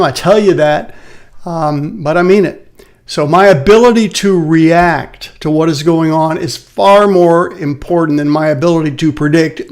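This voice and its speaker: A man hosting a live market update from his office, tired and a little raspy, talking earnestly and plainly. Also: fairly high pitch. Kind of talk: casual live market commentary.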